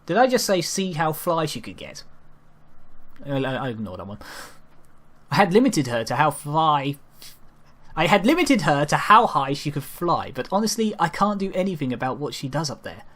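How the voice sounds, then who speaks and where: A man with a slightly high voice narrates a first-person story. He reads as an amateur, with an unpolished delivery that can trip over the wording. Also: very animated, a bit bright. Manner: halting amateur delivery with stumbles over lines. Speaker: amateur reader narrating a fan fiction story.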